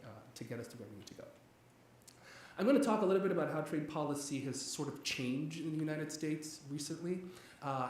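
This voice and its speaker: Man from Nigeria, economics lecturer, mid-pitched, chatty and animated, booming hall echo, faint hiss. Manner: energetic, talkative, with frequent fillers and restarts. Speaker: economics professor giving a guest lecture. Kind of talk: public lecture. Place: large echoey church hall. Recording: very echoey hall, faint background noise.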